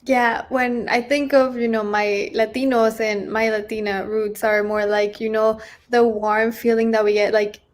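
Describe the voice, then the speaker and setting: A woman's voice: fairly high pitch, level tone, unhurried, few pauses. A woman who grew up partly in Mexico, speaking as a panelist in a chatty way with lots of "you know". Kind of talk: casual panel talk.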